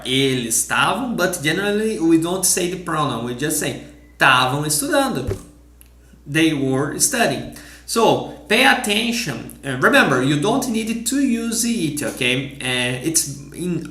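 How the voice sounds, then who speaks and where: A Brazilian-accented man teaches with bright energy, his pitch moving up and down as he walks through examples. He slows down to repeat phrases and laughs often. His voice rings in an echoey room. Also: big pitch swings. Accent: Brazilian Portuguese. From southern Brazil. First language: Portuguese. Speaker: language teacher.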